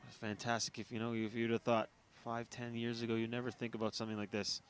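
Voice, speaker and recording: A man's voice: mid-range voice, monotone, fast talker, smooth. A man giving cricket analysis in casual chat, often repeating words while he thinks.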